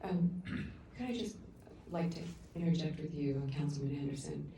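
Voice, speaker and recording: A middle-aged woman giving an earnest, halting public comment at a council meeting, heard through the room's sound system from afar. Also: sits low, creaky, a little hiss or hum. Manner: loud voice. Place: council chamber. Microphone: room sound system, picked up from afar. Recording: echoey council chamber from far off.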